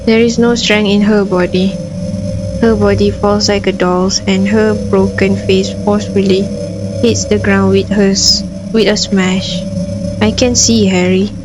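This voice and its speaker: A woman's voice: medium pitch, level tone, unhurried, low-quality recording. A woman doing gameplay commentary, switching between casual reactions and reading story text aloud, with game music behind her. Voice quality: frequent throat clearing. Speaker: gameplay streamer. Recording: background music under voice.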